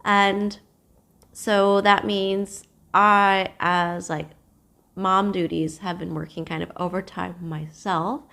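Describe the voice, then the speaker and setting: A young woman hosting a knitting podcast from home. She is hoarse from a cold and talks gently to camera, with thoughtful pauses. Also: mid-range voice, little pitch movement. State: hoarse, losing her voice from a cold. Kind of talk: casual chat to camera. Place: at home, seated.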